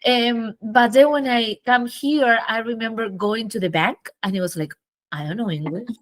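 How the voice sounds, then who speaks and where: A woman's voice: on the high side, moderate pace, dry recording. A woman with an Argentine Spanish accent hosting a podcast, chatty and encouraging in casual interview chat. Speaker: podcast host.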